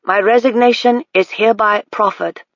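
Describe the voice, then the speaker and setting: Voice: mid-range voice, steady tone, moderate pace, a bit bright, no room sound, voice pushed up, close to an everyday voice. A narrator voicing a nervous, humble male constable in his thirties who talks formally and apologetically, in a dull, dry recording. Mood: apologetic.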